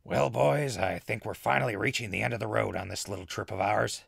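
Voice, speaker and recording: A man playing an excitable, jittery wasteland survivor who records a rambling, dramatic radio diary in an audio drama, with a gravelly voice. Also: fairly low pitch, no echo, studio quality, heavily acted. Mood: upbeat. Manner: rambling, dramatic, with hesitant pauses.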